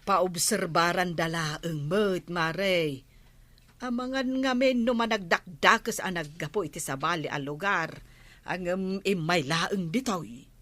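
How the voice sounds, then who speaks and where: An actress playing a village captain's wife, a calm and inquiring matron in a radio drama, with an Ilocano accent. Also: female voice, lowish voice, unhurried, dry recording. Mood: inquiring, firm.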